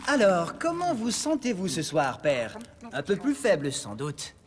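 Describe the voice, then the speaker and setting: A man's voice: sits high, wildly swooping pitch, measured pace, music in the background, exaggerated voice. An actor, a man, playing a bossy, scheming warrior prince in a cartoon, theatrical and scornful. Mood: self-important. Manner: domineering, mocking, theatrical. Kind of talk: French cartoon fairy-tale dub.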